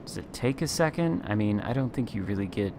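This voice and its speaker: Low, smooth late-night gamer man. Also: expressive, fairly quick, background noise. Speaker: guest content creator. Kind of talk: casual gameplay chat.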